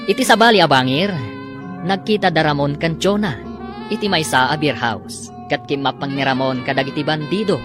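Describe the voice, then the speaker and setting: Guy announcing a radio drama, dramatic stressed delivery, clear music playing under him, broadcast sound. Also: fairly high pitch. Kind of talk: scripted broadcast narration.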